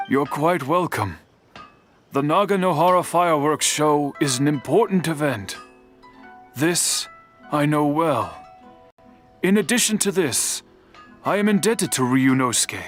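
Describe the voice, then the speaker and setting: A man playing an old, patient master swordsmith in a video game, speaking in a measured, formal, lecturing way. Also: a little high, animated, soft background music. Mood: calm. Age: older.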